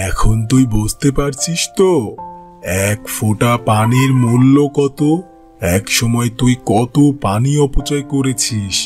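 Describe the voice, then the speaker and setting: A man voicing a stern old holy man in a fairy-tale cartoon, measured, admonishing and authoritative. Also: medium pitch, a little mellow, soft background music, pitched below the performer's own voice, close to an everyday voice.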